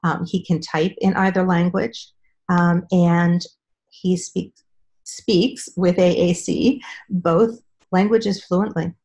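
A middle-aged woman speaking as a guest expert on a video call from a room at home, explaining in a measured way with frequent ums. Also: lowish voice, steady tone, gravelly, darkish tone. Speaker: speech-language pathologist guest.

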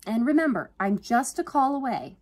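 A woman voicing an endlessly cheerful, overenthusiastic older sister in a romance novel, with squeaky, gushing delivery. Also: very animated, moderate pace, a little mellow. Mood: earnest, caring. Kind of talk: audiobook character voice in a romance novel.

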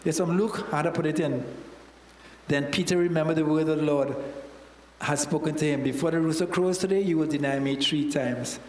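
A man's voice: on the high side, fairly quick, a little mellow, a little hiss or hum. A man in his fifties with a Trinidadian accent, preaching a sermon into a handheld mic and acting out Bible characters with local slang. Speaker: church elder preaching. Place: church hall with stage. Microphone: handheld microphone. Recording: roomy church hall reverb.

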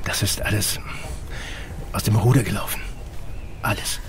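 An actor playing a secretive, protective middle-aged police agent in an audio drama, terse, hushed and insistent. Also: male voice, on the low side, normal speed, lightly acted. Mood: tense, pleading. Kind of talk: German audio drama acting. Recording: clear background noise.

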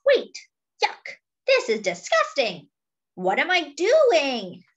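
A woman's voice: high-pitched, huge pitch swings, slow, lo-fi sound, voice pushed up, heavily acted. A woman voicing a big, forgetful, gullible male elephant for a children's picture book, exclaiming and then hesitantly agreeing. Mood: bewildered, dismayed.

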